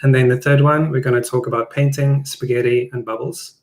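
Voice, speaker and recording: A man's voice: medium pitch, steady tone, a little fast. A man, an Afrikaans speaker, presenting a live online design talk in a plain, conversational way. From South Africa. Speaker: product designer presenting a webinar.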